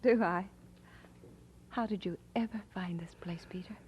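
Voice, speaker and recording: A woman's voice: on the low side, measured pace, breathy edge, highs cut off. An actress playing an affectionate, teasing young woman engaged to be married, in an audio drama. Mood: delighted, teasing. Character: affectionate, teasing young bride-to-be who also narrates warmly.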